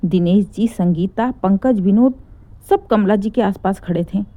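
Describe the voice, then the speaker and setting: A woman's voice: medium pitch, measured pace, no room sound, narrow, tinny audio. A woman narrating a scripted, emotional family story as a dramatic storyteller.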